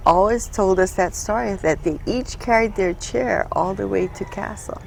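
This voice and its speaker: An older woman from Hawaii, a retired social worker recalling her life in a relaxed interview, speaking haltingly with many pauses and fillers. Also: mid-range voice, vocal fry, noisy background. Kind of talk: casual reminiscing conversation.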